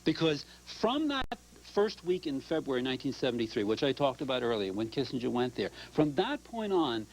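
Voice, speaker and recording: An older man, an author and professor, speaking as the guest on a call-in TV discussion, animated and quick to cut in with agreement. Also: medium pitch, slight background noise, poor audio.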